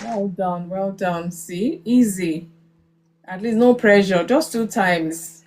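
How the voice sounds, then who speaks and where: Female live-stream host, Nigerian accent, mid-pitched, playful and coaxing, conversational. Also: takes it slowly, darkish tone, some chatter behind. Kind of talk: casual live group chat with encouragement.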